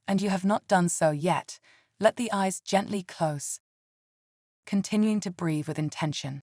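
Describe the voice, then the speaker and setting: Woman, meditation guide, lowish pitch, gentle soothing delivery, scripted, dry close sound. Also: no room sound.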